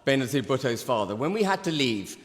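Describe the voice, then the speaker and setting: A man in his sixties, from Pakistan, giving a forceful, rhetorical debate speech with emphatic repetition. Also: medium pitch, very animated, brisk. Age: 60s. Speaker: debate speaker. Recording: long hall reverb.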